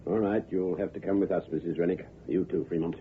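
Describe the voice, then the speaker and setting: Man acting a lowish-voiced radio police inspector. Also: steady tone, quick pace, close to an everyday voice. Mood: commanding. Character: firm, official male police inspector. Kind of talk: old-time radio drama acting. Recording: tinny, noisy old radio recording.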